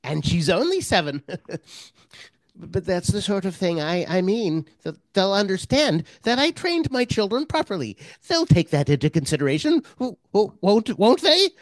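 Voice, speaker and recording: A woman's voice: medium pitch, big pitch swings, moderate pace, dry recording, natural voice. A woman playing a timid, eager middle-aged male prisoner in a radio drama, stammering and ingratiating. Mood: nervous, confiding.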